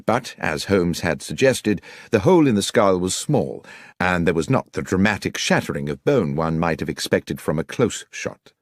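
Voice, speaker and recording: Male audiobook narrator needed: low pitch, varied intonation, scripted storytelling. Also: no echo, studio quality.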